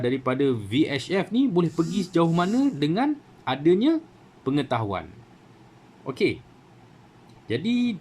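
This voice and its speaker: A man's voice: on the high side, husky. A man with a Malaysian Malay accent giving a casual how-to talk as a channel host, full of fillers and asides asking for agreement. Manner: chatty, many fillers and rhetorical "kan?". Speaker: radio hobby channel host.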